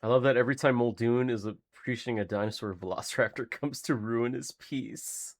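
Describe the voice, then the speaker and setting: A man's voice: mid-range voice, brisk. A man hosting a reaction video, commenting in an informal, musing way. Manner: informal, musing, mild swearing.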